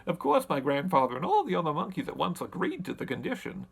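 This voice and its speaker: A man voicing a talkative winged monkey king who recounts his tribe's history in an animated, deliberate storybook manner. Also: a little high, creaky, husky, voice pushed down, full character voice. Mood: storytelling. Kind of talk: storybook character narration.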